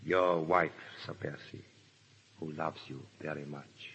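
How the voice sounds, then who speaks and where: Forceful, menacing French revolutionary agent. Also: male voice, very deep voice, fairly even intonation, slow, slight background noise, heavily acted. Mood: coldly triumphant. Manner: clipped, forceful, icily polite. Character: cold, menacing, triumphant male agent of revolutionary France. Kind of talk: 1930s radio drama acting. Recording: muffled 1930s radio broadcast, hall reverb.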